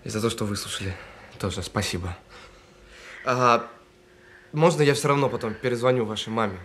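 An actor in an audio drama playing a guilt-ridden bomb technician on the phone, speaking haltingly and earnestly. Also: male voice, fairly low pitch, fairly bright tone, slight background noise, natural voice. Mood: relieved, weary. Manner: halting, earnest, apologetic, with stammered restarts. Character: guilt-ridden male bomb defuser saying goodbye by phone.

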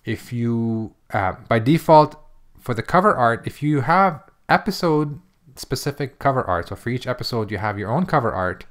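A man with a mid-pitched voice presents a software walkthrough. He talks informally, like a screencast tutor, and explains each step in turn as he goes. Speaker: software demonstrator.

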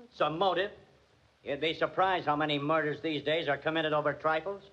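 Husky, high-pitched wisecracking American detective. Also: male voice, steady tone, a little fast, a bit bright. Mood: tough, wry. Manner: sardonic, needling, tough-guy slang. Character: wisecracking, sarcastic hard-boiled male police detective. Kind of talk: 1940s radio drama acting. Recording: dull, band-limited old radio recording.

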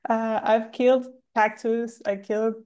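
A woman's voice: a little high, flat delivery, unhurried, a little mellow, clean tone. Cheerful woman from Venezuela. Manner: cheerful, self-deprecating. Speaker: panelist, software engineer. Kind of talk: casual introduction.

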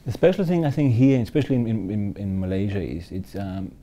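A man's voice: on the low side, expressive, brisk, warm tone. A man from Austria in his fifties, a hotel general manager giving upbeat, fluent interview answers in a hotel lounge.

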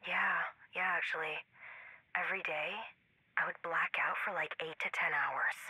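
A woman's voice: low voice, steady tone, moderate pace, bright, forceful tone, slightly rough, dry recording, heavily acted, rough recording. An actress playing a level-headed, teasing pregnant woman in a video game, with a wry, reassuring way of talking. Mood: wry, exasperated. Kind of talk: naturalistic game dialogue.

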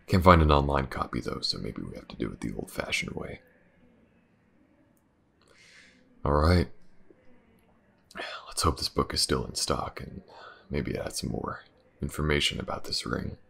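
A man playing a weary, driven detective in an audio roleplay, with a hesitant, muttering delivery and a gravelly voice. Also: expressive, moderate pace, fairly bright tone, a little hiss or hum, heavily acted. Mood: tentative, focused. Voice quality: very deep, gravelly. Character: weary, driven male detective investigating a dark mystery. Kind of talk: intimate audio roleplay acting.

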